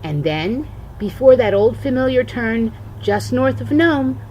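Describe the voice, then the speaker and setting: A woman's voice: a little high, expressive, unhurried, warm tone. Older woman reading a storybook, noisy recording. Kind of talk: read-aloud children's storybook narration.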